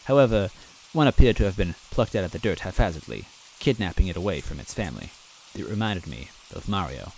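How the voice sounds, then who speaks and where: A man's voice: low-pitched, expressive, fairly quick, gravelly, darkish tone, slight background noise, rough recording. A man reading a fan story aloud in first person, earnest and timid in tone. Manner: earnest and timid, self-deprecating. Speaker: story reader.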